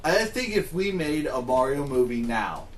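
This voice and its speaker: A man's voice: mid-range voice, very animated, moderate pace, slight background noise. A man talking as a panelist in a casual group discussion. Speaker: podcast panelist.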